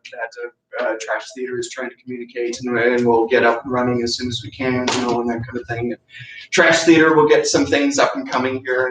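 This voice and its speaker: A man giving an informal, rambling, halting introduction as a theatre host. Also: mid-range voice. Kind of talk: informal unscripted announcement. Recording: echoey room, distant mic, audience noise.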